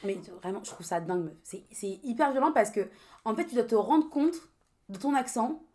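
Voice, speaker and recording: A woman's voice: a little high, animated, moderate pace, darkish tone. A young woman co-hosting a podcast, chatting casually with slangy, emphatic reactions. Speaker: podcast co-host. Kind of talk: casual chat.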